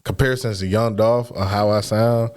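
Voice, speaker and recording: A man, a rapper guest talking in a relaxed, halting way with many restarts. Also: smooth. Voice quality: deep voice. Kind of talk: casual interview chat.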